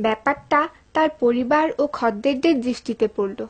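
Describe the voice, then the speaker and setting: An actress as a storybook narrator, reading scripted narration for a cartoon. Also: female voice, high-pitched, moderate pace. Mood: measured.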